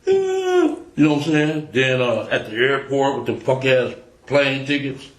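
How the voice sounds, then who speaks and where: A man's voice: mid-range voice, very animated, a little slow. Echoey, profane man under police questioning. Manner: profane, slangy, insistent. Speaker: suspect being questioned by police. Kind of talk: police interrogation, candid street talk. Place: small indoor police interview room. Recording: echoey interrogation room audio.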